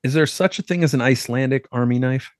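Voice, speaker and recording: A man's voice: medium pitch, fast, dry recording. Chatty male podcast host, dry sound. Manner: upbeat, chatty interviewer. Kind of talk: interview and podcast chat.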